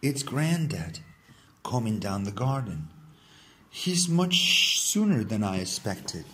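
A man reading a children's story aloud in the first person, with deliberate, dramatic phrasing. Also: on the low side, very animated, fairly bright tone, breathy edge. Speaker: story reader.

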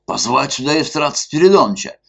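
A man's voice: medium pitch, expressive, moderate pace, vocal fry, a little mellow, voice pushed up. A man voicing an indignant, bespectacled club official, flustered and pompous in his outrage. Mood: outraged.

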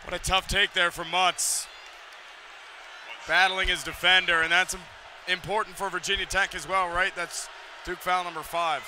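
A man's voice: sits high, level tone, normal speed, pauses often. A man giving radio color commentary on a basketball game, with short analytical remarks between plays. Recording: loud arena crowd and noise.

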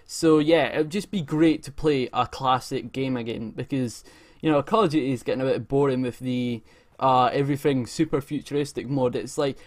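Casual guy in his twenties. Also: mid-range voice. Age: 20s. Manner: informal, enthusiastic, frequent swearing. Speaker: gaming video creator. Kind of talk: casual gaming news commentary over gameplay. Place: indoor room at home. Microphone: desk microphone close to mouth.

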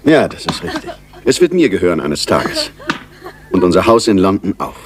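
A privileged, resentful son of a country house in a radio drama. His voice is fairly low but moves expressively, with a dry, sardonic edge. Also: big pitch swings, normal speed, crowd noise. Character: resentful upper-class English heir, grown son. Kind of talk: radio play dialogue.

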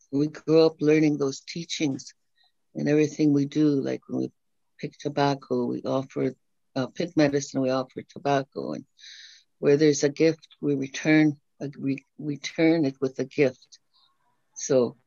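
Soft-spoken older woman on a video call. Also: sits low, vocal fry, no echo. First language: Dakota. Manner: soft-spoken, measured, many pauses. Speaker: elder opening a meeting with prayer. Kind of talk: slow, gentle prayer and teaching. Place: home room, indoors. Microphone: laptop webcam on video call. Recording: dull, dry video-call audio.

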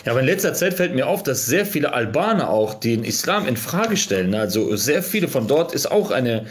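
A man's voice: mid-range voice, big pitch swings, a little fast, slight background noise. A man in his thirties hosting a livestream, talking casually and preaching about religion. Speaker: livestream host. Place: small room at home.

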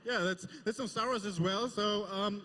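A man's voice: sits high, moderate pace, pushed and bright, big-room sound, a little hiss or hum. A man co-hosting a convention stage interview, speaking hesitantly with fillers. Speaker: stage host.

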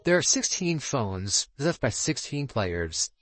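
A man's voice: medium pitch, big pitch swings, measured pace, a bit bright, faint music behind. A man voicing a solemn god of time and space, formally announcing the rules as game master in a visual novel. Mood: solemn, commanding. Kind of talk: reading visual novel dialogue aloud.

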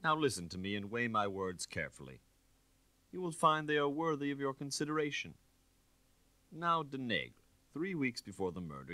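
Man performing audiobook ex-detective, middle-aged, insinuating, condescending, forceful edge, dry close sound. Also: mid-range voice, dry recording, pitched above the performer's own voice. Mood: stern pressure. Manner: condescending, smoothly persuasive. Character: forty-ish shabby ex-detective, smooth, insinuating, menacing.

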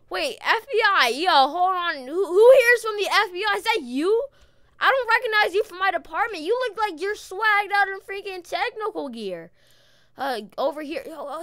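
A high-pitched, bright voice giving gameplay commentary as a police officer in a roleplay game. The delivery is urgent, exclamatory and slangy, swinging widely in pitch. The recording is close and dry. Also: dry recording. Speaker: gaming video host playing a police officer.

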